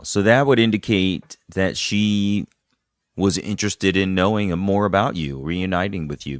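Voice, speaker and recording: A man's voice: sits low, no echo, clean studio sound. A man hosting a podcast, moving between scripted narration and conversational interviewing. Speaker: podcast host.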